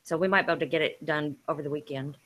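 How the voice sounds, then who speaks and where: A woman's voice: sits low, very fast, fairly bright tone. A woman in her thirties chatting informally in an online group, talking freely and loosely. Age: 30s. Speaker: group participant. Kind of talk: casual online chat.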